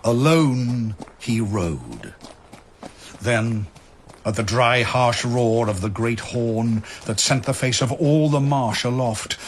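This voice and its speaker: A man narrating an archaic verse tale in a solemn, measured, dramatic storytelling style. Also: mid-range voice, animated, a little hiss or hum.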